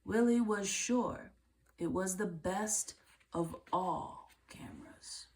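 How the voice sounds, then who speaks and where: A woman reading a children's book aloud in a measured way, with deliberate phrasing and pauses. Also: medium pitch, expressive. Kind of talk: read-aloud storybook narration.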